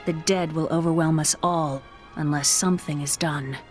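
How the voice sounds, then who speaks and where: A woman's voice: sits low, steady tone, moderate pace, a little mellow, faint music behind, natural voice. A woman voicing an earnest young survivor. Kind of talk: video game dialogue voice acting.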